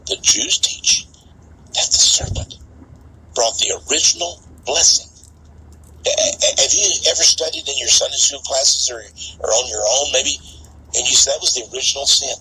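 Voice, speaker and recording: A man's voice: medium pitch, normal speed, pushed and bright, slight background noise, rough recording. A man preaching in an impassioned monologue, speaking emphatically to his listeners and repeating words for stress.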